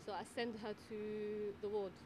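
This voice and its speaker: A woman from the Netherlands who works as a midwife and tutor, answering interview questions in a thoughtful way with many fillers and pauses. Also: mid-range voice, flat delivery. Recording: noisy background ambience.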